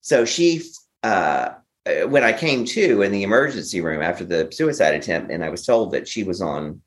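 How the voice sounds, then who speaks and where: A man's voice: sits low, very animated, normal speed. A man telling a personal story as an interview guest, speaking candidly and with a theatrical streak. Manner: candid, theatrical, acts out quoted remarks. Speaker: interview guest, memoir author.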